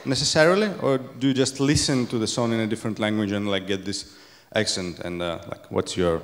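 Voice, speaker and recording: A man with a fairly low voice asks a polite question, halting often on fillers. The room is a big lecture hall, with a long reverb following his words. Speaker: audience member asking a question. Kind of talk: conference Q&A.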